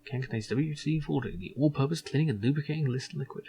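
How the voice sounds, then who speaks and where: A man's voice: mid-range voice, fast, mellow, slight background noise. A man playing through a video game and commenting casually as he reads and explains. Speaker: gaming host. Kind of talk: video game playthrough with self-voiced dialogue.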